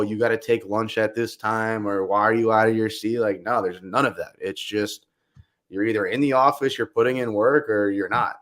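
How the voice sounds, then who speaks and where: A young man with a loud voice, talking casually as a podcast guest, animated and quick in bursts. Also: fairly low pitch. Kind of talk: casual chat.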